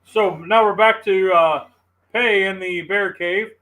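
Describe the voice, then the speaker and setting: A man's voice: sits high, steady tone, slow, bright, forceful tone. A man, hosting a live cooking stream in easygoing chat and talking to his viewers. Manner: easygoing and chatty, talks to the chat.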